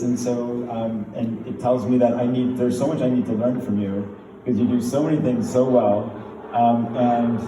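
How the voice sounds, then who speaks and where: A man from Turkey, a pulmonologist, giving a casual tribute speech full of fillers and restarts. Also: mid-range voice. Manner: rambling, many fillers and restarts, laughing warmly. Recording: distant echoey room, faint noise.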